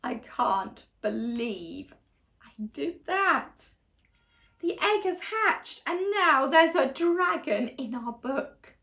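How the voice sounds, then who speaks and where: A young woman with a high, bright voice reads a story aloud for little children. Her delivery is very slow and deliberate, with exaggerated expression that invites listeners to join in. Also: very animated. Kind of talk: animated read-along storytelling for young children. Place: small living room, on a sofa indoors.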